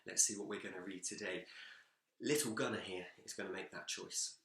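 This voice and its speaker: A man reading a children's picture book aloud in an expressive, animated storytelling manner. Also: low voice, a little fast. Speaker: school story-time reader, teacher. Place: indoors, seated in a reading chair. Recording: echoey room.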